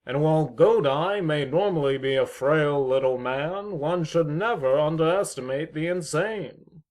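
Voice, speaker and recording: A man's voice: on the high side, unhurried, a little mellow, natural voice. A man playing a pompous, needling, mock-polite neighbour with formal, theatrical phrasing in an amateur audio drama. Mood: smug, mock-polite.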